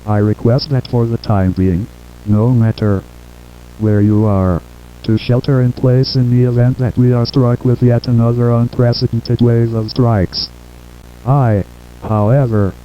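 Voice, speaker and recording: A synthetic man's voice playing a solemn president giving a formal, measured wartime address to the nation. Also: mid-range voice, steady tone, natural voice. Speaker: synthesized voice reading a president's statement. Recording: dull lo-fi broadcast with hum and noise.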